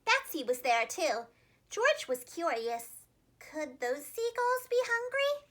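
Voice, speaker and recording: Very high, animated woman storyteller. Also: slow pace. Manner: animated, expressive, carefully enunciated. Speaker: storyteller, preschool teacher. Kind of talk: children's storybook narration.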